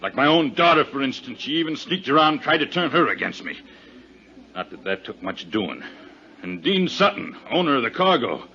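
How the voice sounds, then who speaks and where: An American actor playing a belligerent, bitter middle-aged sea captain, gruff and threatening, in 1950s radio drama style. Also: male voice, mid-range voice, very animated, moderate pace, pushed and bright, a little hiss or hum. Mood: bitter, menacing. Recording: dull 1950s radio recording.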